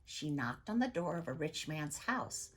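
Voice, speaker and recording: A woman reading a children's story aloud in an expressive narrating style. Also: sits low, a little slow, slightly rough. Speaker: storybook reader.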